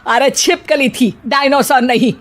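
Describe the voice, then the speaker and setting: Brief: high-voiced scolding mother-in-law, a woman acting it, bossy and quarrelsome, cartoon. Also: unhurried. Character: bossy middle-aged mother-in-law, scared of bugs.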